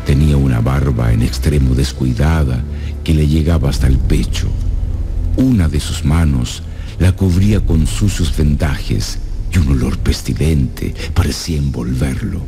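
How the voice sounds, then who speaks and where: A man with an extremely low voice narrates a radio drama. His phrasing is measured and suspenseful, with clear music playing behind him.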